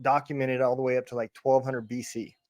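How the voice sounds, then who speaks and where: Male podcast host, halting, dry close sound. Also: medium pitch, level tone, darkish tone, no echo. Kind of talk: casual interview.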